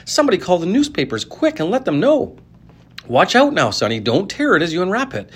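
Man doing a fat shopkeeper, loud, high, frantic; husky edge. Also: moderate pace. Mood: ecstatic. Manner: high shrieking yell.

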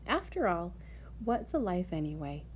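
A woman voicing a wise, motherly female spider in a storybook, measured, articulate and gently firm. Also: on the low side, big pitch swings, a little hiss or hum. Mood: reflective. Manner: measured, articulate, matter-of-fact.

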